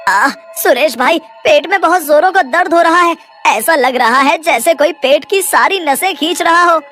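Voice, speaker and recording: A woman's voice: high voice, moderate pace, a bit bright, soft background music. A woman voicing a gloomy, complaining village man, someone's friend, in a cartoon story. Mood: in pain. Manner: plaintive, complaining.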